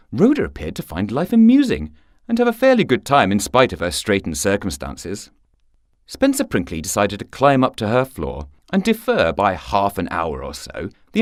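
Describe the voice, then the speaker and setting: Highly animated male story narrator. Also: dry recording, clean studio sound. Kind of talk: scripted short story narration for radio.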